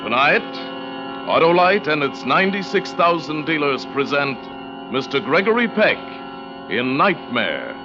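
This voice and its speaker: A man's voice: a little high, big pitch swings. Man, dramatic vintage announcing, wide intonation, muffled old broadcast, music behind. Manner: portentous, deliberate. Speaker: programme announcer. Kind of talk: dramatic 1940s radio introduction.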